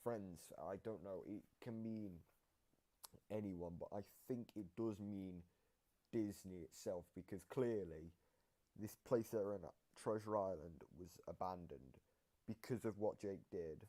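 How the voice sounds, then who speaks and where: A man's voice: sits low, measured pace, warm tone. A man giving casual explanatory commentary, piecing his thoughts together as he explains. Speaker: co-host explaining game lore.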